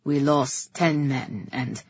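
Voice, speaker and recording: A woman voicing a restrained, defiant soldier, brother to a king, in a steely, measured audiobook delivery. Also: darkish tone, slightly rough, muffled recording, heavily acted. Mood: grim. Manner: low, steely, slow and measured. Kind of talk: audiobook character voice.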